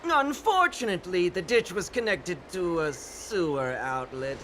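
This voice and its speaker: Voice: medium pitch, animated, unhurried, slight background noise, low-quality recording. An actor voicing an eager, talkative little companion in a video game, with formal, mock-dignified phrasing. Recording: loud music behind.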